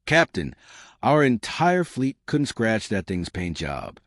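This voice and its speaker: A man's voice: fairly low pitch, sing-song, takes it slowly, no echo, studio quality. Man voicing a nervous female weapons officer. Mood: nervous doubt. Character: nervous female lieutenant, starship weapons specialist.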